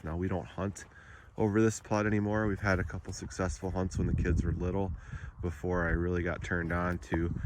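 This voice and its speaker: A sick man whose voice sounds nasal, giving a relaxed, rambling narrated tour as a landowner. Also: low-pitched, background noise. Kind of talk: casual narrated drone tour.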